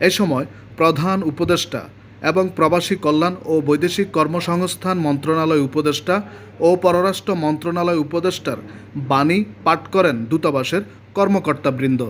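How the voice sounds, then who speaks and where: A male news correspondent reads his report in a steady, formal voice-over. His voice sits a little high for a man. A faint hiss of noise runs under it.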